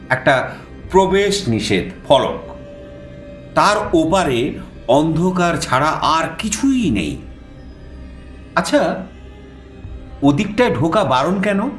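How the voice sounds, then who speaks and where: An actor playing a lively male storyteller who recounts his adventure in animated, conversational first-person narration for an audio drama. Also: a little high. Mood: curious. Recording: roomy echo, faint music behind.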